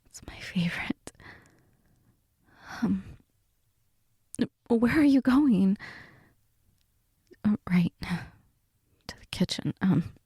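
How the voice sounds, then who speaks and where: A woman acting as a flustered middle-aged mom who is under the weather, in an intimate roleplay audio. Her voice is breathy, husky and very mellow. She speaks slowly and haltingly, reluctant and soft, often close to a whisper. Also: medium pitch, lively intonation, no echo, heavily acted. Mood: softening, nervous. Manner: hesitant, halting, soft-spoken.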